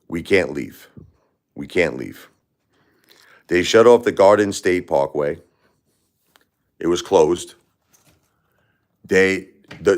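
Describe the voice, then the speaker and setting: A man chats loosely on his own livestream, circling back on his words and calling out viewers by name. His voice sits very low. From Brooklyn, New York. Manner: rambling, repeats words and restarts, addresses chatters by name. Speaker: livestream host. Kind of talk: casual livestream chat with viewers.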